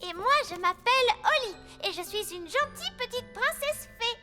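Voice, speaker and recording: Very high, earnest little cartoon child. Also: female voice, lively intonation, moderate pace, music in the background. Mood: curious, earnest. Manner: innocent, plain-spoken, earnest.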